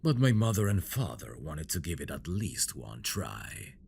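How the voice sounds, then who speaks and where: A man playing an obsessive, menacing dragon-blooded prince in an ASMR fantasy roleplay, speaking in a brooding, gravelly voice with possessive endearments. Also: sing-song, darkish tone, slight background noise, full character voice. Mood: wistful, possessive. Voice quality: deep, gravelly. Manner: slow, brooding, menacing endearments, dropping into whispers. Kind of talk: ASMR roleplay, dramatic monologue.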